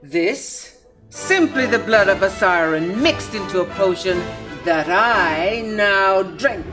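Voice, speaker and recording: Woman playing a slow-spoken, sly sorceress. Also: medium pitch, expressive. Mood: sly, menacing. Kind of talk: theatrical audio drama acting. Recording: music under the voice.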